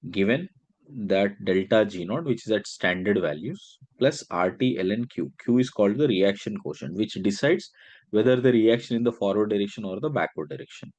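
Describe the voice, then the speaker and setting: A man's voice: on the low side, darkish tone, no room sound. A man giving a step-by-step science lecture as a teacher, explaining and often checking in with short questions. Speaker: chemistry teacher. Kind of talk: step-by-step worked-problem lecture.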